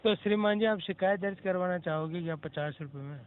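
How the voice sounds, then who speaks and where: A man's voice: sits high, a little fast, clean tone, no echo. A man working as a helpline agent, formal in address, procedural and slightly curt. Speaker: government helpline call agent. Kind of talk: formal customer service phone talk. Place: telephone call. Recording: narrow-band phone call recording.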